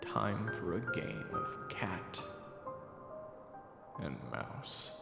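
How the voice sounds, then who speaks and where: A man narrating a dark fantasy audio story as an ominous storyteller, with dramatic, suspenseful delivery. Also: deep voice, very animated, very slow, gravelly, loud music behind the voice, heavily acted. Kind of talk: scripted second-person story narration. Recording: echoey, long reverb.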